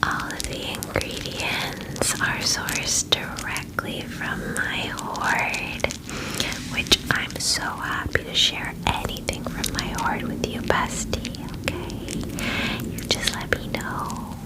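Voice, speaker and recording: A woman voicing a friendly, chatty young female purple dragon in a soft, whispered ASMR fantasy roleplay, with casual, girlish phrasing. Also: slow pace, lightly acted, low-quality recording. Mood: friendly, soothing.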